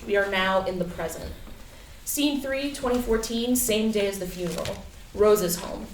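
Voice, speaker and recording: A woman reading stage directions as the narrator of a staged play reading. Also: medium pitch. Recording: echoey room, distant mic, faint noise.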